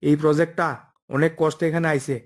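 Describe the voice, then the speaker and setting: A man's voice: a little high, level tone, quick pace, natural voice. A man voicing a stern, by-the-book office boss in a comic cartoon, bossy and explanatory, with an eastern Bengali dialect. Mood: stern, instructing. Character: stern, by-the-book male office boss holding job interviews.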